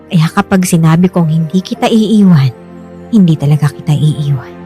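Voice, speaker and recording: An actress playing a devoted, hard-pressed wife and mother who is quick to bristle, with expressive, emphatic delivery in a radio drama. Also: sits low. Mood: tender, resolute. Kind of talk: Filipino radio drama acting. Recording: music bed under the voice.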